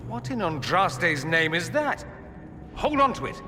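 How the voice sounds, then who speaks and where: Casting: an urbane fantasy game mage, very animated intonation, wry, articulate, curious, over noisy ambience. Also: male voice, high voice, measured pace, exaggerated voice. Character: witty, urbane adult male mage, curious and concerned. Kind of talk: dramatic video game dialogue.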